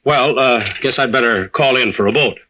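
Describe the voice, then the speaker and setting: An actor playing a genial, considerate adventurer who hosts and narrates an old radio drama. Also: male voice, mid-range voice, normal speed, lightly acted. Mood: considerate, hesitant. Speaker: series lead and narrator-host. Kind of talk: 1940s-50s radio drama and hosting. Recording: muffled old radio recording.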